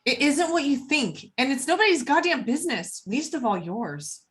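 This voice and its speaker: A woman's voice: sits high, animated, moderate pace, fairly bright tone. An actress playing an angry, blunt, foul-mouthed woman in a table read of a film script. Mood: bitter, defiant. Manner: combative, blunt.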